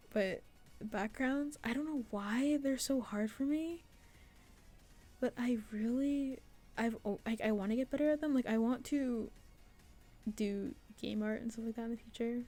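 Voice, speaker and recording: A young woman with a fairly high voice chats through a casual devlog voice-over. She rambles about her work in a self-deprecating, giggly way, leaning on "like" as she goes. Faint music plays behind her. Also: normal speed, mellow. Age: young adult. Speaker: artist and game maker narrating a devlog. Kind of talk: casual rambling voice-over commentary on own artwork.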